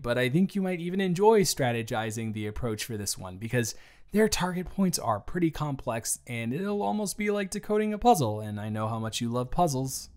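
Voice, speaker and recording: A man's voice: medium pitch, close to an everyday voice. A man playing a fond, chatty office boss in his early thirties in a romantic audio roleplay, speaking earnestly and playfully straight to the listener. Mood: upbeat, playful. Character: warm, earnest thirty-three-year-old male office boss, fond and encouraging. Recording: background music. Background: music.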